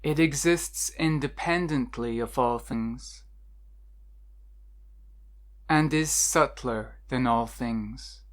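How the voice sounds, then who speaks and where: A meditation guide narrating a scripted guided meditation in a measured, instructional way with deliberate pauses. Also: mid-range voice.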